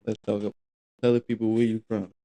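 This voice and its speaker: A man's voice: lowish voice, flat delivery, brisk, warm tone. A man hosting a podcast, greeting listeners casually and asking his guest short, laid-back questions. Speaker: podcast host.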